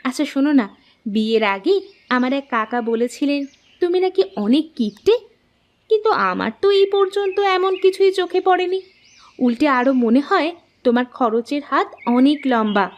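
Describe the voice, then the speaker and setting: A woman's voice: high-pitched, expressive, moderate pace. A woman voicing a young village wife in a cartoon, scolding and teasing in an exclamatory way. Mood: teasing, curious. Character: young village wife, nagging yet affectionate. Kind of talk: Bengali cartoon voice acting.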